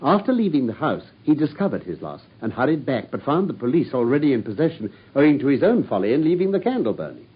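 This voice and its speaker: A man's voice: mid-range voice, very animated, quick pace, hardly any pauses, husky. An actor playing a brilliant, confident male detective in an old radio drama. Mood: assured. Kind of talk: 1950s BBC radio drama acting. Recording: dull lo-fi old radio recording.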